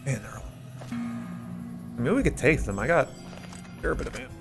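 A man's voice: mid-range voice, big pitch swings, moderate pace, music in the background. A man giving casual, rambling game commentary, thinking aloud as he plays. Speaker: gameplay host.

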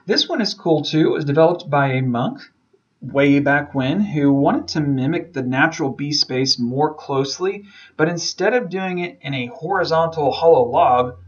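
A man's voice: mid-range voice, rough recording. A man from the southern United States giving an informal slide lecture as an instructor, explaining things in a casual, enthusiastic manner. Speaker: beekeeping instructor.

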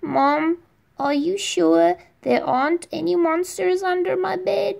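A small boy knight with a raised, high voice, earnest and easily frightened, as a woman puts him on for a bedtime story. His questions come out pleading and slow, with a gravelly edge. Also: fairly even intonation, a little mellow, full character voice. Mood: anxious.

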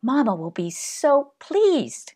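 An eager little armadillo girl from a children's storybook, voiced by a woman narrator. She speaks earnestly, with her pitch lifted above a grown-up's. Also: sing-song, takes it slowly, dark tone, husky, exaggerated voice.

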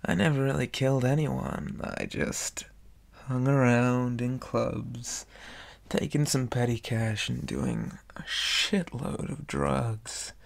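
A man playing a deranged, taunting monster boy inmate in an ASMR horror roleplay, with a breathy, whispery rasp and a slow, teasing drawl. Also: mid-range voice, fairly even intonation. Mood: lazy, musing.

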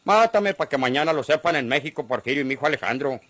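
A man's voice: on the high side, animated, fast, a bit bright. An actor playing a shrewd, cornered ranch man in a Mexican radio drama, middle-aged, persuasive and defiant, with a rural Mexican accent. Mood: urgent defiance. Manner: earnest, persuasive, rustic speech.

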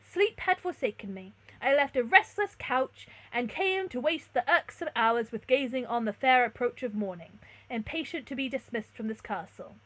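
Woman acting a humble young male stranger, plaintive, formal, animated pitch, gothic storybook. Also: moderate pace, no echo. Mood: melancholy, guarded. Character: mysterious melancholy young peasant man, humble and courteous. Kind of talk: gothic novel read-aloud.

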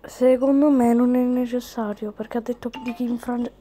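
A woman with a fairly high voice gives casual game commentary. She is chatty, slipping between her own remarks and reading the game's text out loud. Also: fairly even intonation, moderate pace. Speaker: gaming video host.

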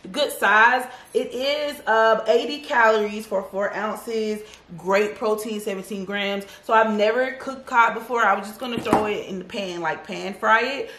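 A woman's voice: medium pitch, a little slow, fairly bright tone, a little hiss or hum. Chatty woman vlogging from an echoey kitchen. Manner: chatty, sassy, addresses viewers as y'all and baby. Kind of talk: casual grocery haul chat. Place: home kitchen.

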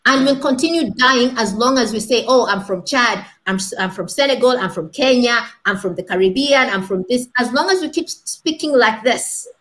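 A woman's voice: a little high, moderate pace, hardly any pauses, fairly bright tone. A woman in her thirties giving impassioned commentary as a panel guest on a video call, asking rhetorical questions and repeating points for stress. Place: small room indoors. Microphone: laptop webcam video call.

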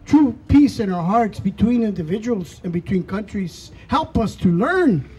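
A man leading a solemn outdoor prayer in a slow, measured way, speaking into a handheld mic. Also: sits high, animated, darkish tone, slightly rough, poor audio. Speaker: prayer leader. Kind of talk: spoken prayer. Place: outdoors in a park.

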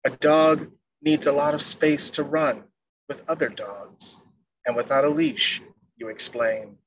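A middle-aged man giving a measured poetry reading as a poet on a video call. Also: a little high, fairly even intonation, no echo. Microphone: wired earphone mic on a video call. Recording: noisy video-call audio.

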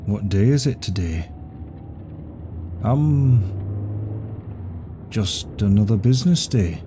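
Devoted CEO boyfriend played by a male actor, dark mellow tone, very slow and intimate ASMR roleplay. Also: fairly low pitch, lively intonation, slight background noise. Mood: casual, evasive. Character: devoted, secretive male CEO romancing his secretary. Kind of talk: intimate ASMR roleplay acting, one side of a dialogue.

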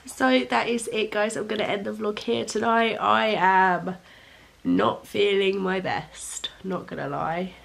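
A woman's voice: mid-range voice, steady tone, a little slow, vocal fry. A young woman, chatting casually to camera in her living room while congested from a head cold. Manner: chatty, giggly. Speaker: vlogger. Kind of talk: casual vlog chat. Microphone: handheld camera at arm's length.

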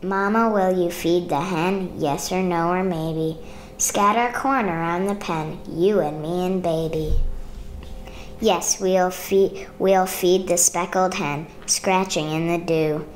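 A woman reading a children's picture book aloud in measured, rhythmic rhyme. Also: fairly low pitch, steady tone, slight background noise. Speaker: storybook reader.

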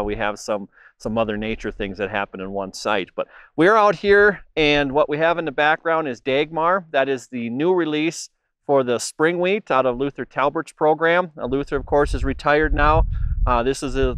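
Plain-spoken middle-aged man, clear dry voice. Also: mid-range voice, a bit bright, no echo. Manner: plain-spoken, many "uh" fillers. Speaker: foundation seed program manager. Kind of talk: informal walk-through tour. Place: seed cleaning plant indoors, then outdoors by wheat fields.

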